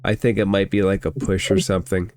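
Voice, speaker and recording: A man's voice: low-pitched, level tone, a little fast, darkish tone. A man acting as game master, narrating a tabletop fantasy game in a casual, descriptive way.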